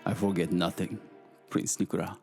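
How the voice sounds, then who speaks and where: Deep-voiced, proud Japanese naval commander. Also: male voice, level tone, normal speed, gravelly, a little mellow, faint music behind. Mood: cold, defiant. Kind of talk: audio drama acting.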